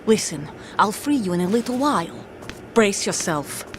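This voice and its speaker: A woman's voice: mid-range voice, expressive, normal speed, background noise, natural voice. A woman playing a hard, resigned undercover agent and mother in a video game, speaking in a terse, grim, urgent way. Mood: firm, commanding. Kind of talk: dramatic video game acting. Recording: dramatic music behind the voice.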